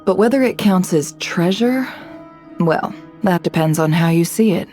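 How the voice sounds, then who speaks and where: A shrewd young woman of intrigue from a video game, voiced by an actress, speaking on the low side. She is composed, smooth and knowing, with faint music underneath. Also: lively intonation, moderate pace, darkish tone, natural voice. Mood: reflective. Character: poised, shrewd young woman running an intelligence business. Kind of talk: English video game voice acting.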